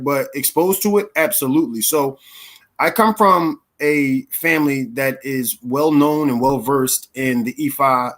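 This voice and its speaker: A man in his thirties from Philadelphia, telling his life story in a relaxed, chatty way as an interview guest. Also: on the high side. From Philadelphia, USA. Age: 30s. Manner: relaxed, chatty, with fillers and laughs. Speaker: interview guest, actor and performer.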